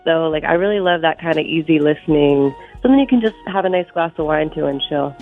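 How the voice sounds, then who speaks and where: A soft-spoken woman with a stuffy cold, a musician talking as a guest on a live radio phone interview and filling her sentences with easy fillers. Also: low-pitched, fast talker. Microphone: phone line. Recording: tinny phone line with faint music.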